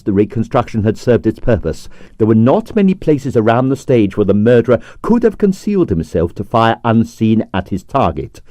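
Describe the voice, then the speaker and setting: A man's voice: medium pitch, no room sound, studio quality. A man reading a novel aloud as an audiobook, telling it expressively. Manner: expressive, lively storytelling. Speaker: audiobook reader. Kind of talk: scripted audiobook narration.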